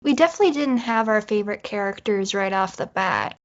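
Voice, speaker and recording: A woman's voice: medium pitch, fairly quick. A woman talking casually as a writer being interviewed. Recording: dull, band-limited audio.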